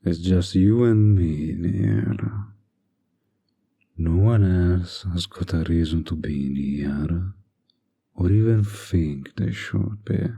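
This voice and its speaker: A man playing a smug, manipulative, flirtatious villain in an intimate audio drama, coaxing with deliberate pauses in a breathy, husky voice. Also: low voice, warm tone, heavily acted. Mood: seductive, coaxing.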